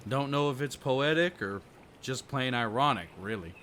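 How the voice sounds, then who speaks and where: A man's voice: mid-range voice, animated, moderate pace, a bit bright, a little hiss or hum, close to an everyday voice. A man playing a kindly, folksy country fisherman in an audio roleplay, with rustic phrasing and a hospitable manner. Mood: wistful storytelling. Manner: folksy rural phrasing, dropped g's.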